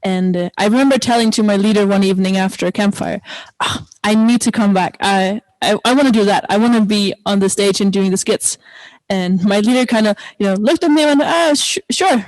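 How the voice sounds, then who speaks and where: A woman's voice: mid-range voice, a little fast, a little mellow, dry recording, poor audio. Danish woman, dry close sound. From Denmark. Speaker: guest services director, panelist. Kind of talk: informal personal storytelling.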